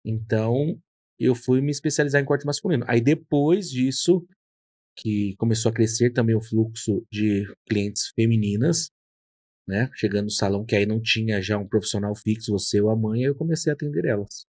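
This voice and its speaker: A man's voice: on the low side, normal speed, a little mellow, no echo. Earnest man in his thirties, dry sound. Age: 30s. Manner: earnest, reflective storytelling. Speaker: hairdresser and podcast host. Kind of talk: casual conversation.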